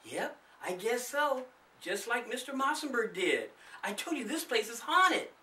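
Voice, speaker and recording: A man's voice: very high voice, very animated, unhurried, reverberant, distant mic, pitched above the performer's own voice, heavily acted. A man voicing a frightened, anxious young girl in a spooky children's ghost story. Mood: uneasy. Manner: hushed, shuddering, anxious.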